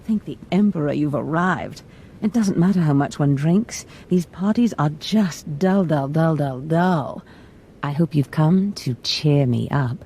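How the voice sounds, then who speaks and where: A woman playing a smooth, scheming Sith noblewoman in a video game, theatrical, honeyed and polite, with mock innocence. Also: low voice, expressive, unhurried, dark tone, slight background noise. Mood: bored, playful.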